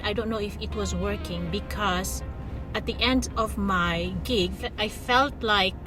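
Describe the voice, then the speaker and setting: A woman from the Philippines with a Filipino accent, telling a personal story to her viewers in a chatty way, often saying "you know" and "guys". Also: medium pitch. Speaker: vlogger. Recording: distant mic with clear music behind.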